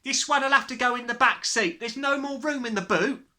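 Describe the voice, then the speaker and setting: A man voicing a brash, indifferent storybook mother, loud, blunt and bossy. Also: very high-pitched, brisk, voice pushed up, exaggerated voice.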